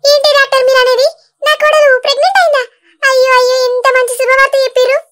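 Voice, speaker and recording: A squeaky, bright cartoon mother-in-law who fusses loudly in a Telangana Telugu accent. She talks very fast and very high. Her village manner is bustling and excitable. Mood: overjoyed. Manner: loud, fussing, quick Telangana village talk. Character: fussy village mother-in-law, middle-aged woman. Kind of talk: cartoon voice acting.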